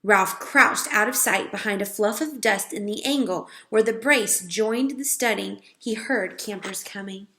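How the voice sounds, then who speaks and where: A woman reading a children's storybook aloud in a clear, expressive way. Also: medium pitch, a little slow, few pauses. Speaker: read-aloud reader. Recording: roomy echo.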